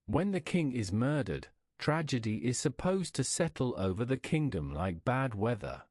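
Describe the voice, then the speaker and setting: A man reading a scripted bedtime story in a measured, wry storytelling manner. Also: medium pitch, very animated, a little mellow, no room sound, studio quality.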